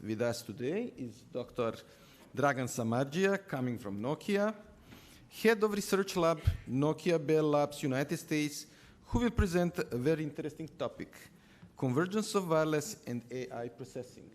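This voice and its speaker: A man's voice: a little high, expressive, moderate pace. A man, the moderator, formally introducing the next speaker at a conference. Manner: formal, announcing. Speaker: event host, moderator. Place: conference hall.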